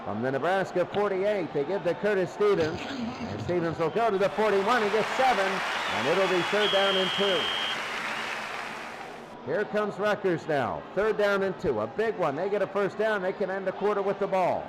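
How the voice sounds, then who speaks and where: High-voiced man calling football over noise. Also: expressive, moderate pace, a loud crowd. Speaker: play-by-play sports commentator. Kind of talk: American football radio-style play-by-play commentary.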